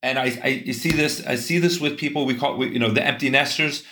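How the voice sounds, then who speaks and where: A man talks earnestly in a voice of middling pitch, circling back and restarting his sentences as he works through an idea. The sound is echoey, as if he is in a bare room. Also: fast, sharp tone, slight background noise. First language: English. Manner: earnest, talks in long runs with many restarts. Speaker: guest. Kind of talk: casual interview conversation.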